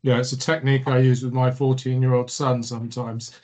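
A man's voice: medium pitch, little pitch movement, a little fast, darkish tone. Middle-aged male host, conversational, nods along, mid-range voice. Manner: agreeable, asks leading follow-up questions. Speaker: interviewer host. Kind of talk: conversational interview.

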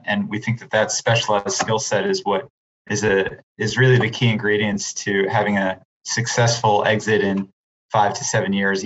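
A man speaking as a guest on a business podcast, explaining his point in a measured way. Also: lowish voice, fairly bright tone, highs cut off. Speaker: investment firm partner, panel guest. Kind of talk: measured explanatory interview answer.

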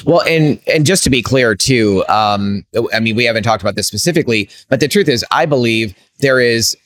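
A man's voice: medium pitch, expressive, fairly quick, a bit bright, a little hiss or hum. A man hosting a faith-based interview podcast, speaking thoughtfully and hesitantly, with frequent restarts. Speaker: podcast host.

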